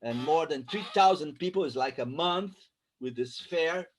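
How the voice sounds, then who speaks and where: Halting man with a Brazilian Portuguese accent. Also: a little high, husky. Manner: halting, searches for words. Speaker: guest presenter. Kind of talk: slide presentation. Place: online video call.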